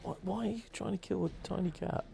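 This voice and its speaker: A soft-spoken man giving relaxed, mildly chatty ASMR commentary as he plays a video game. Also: high voice, fast talker, creaky, noisy background. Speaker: gaming channel host.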